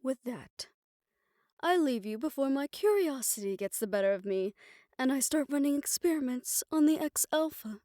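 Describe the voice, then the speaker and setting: A woman playing a calm, wry, curious-minded friend in an audio drama, giving caution in a measured, gentle way. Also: sits high, dry recording, clean studio sound. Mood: gentle warning. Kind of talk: scripted audio roleplay drama acting.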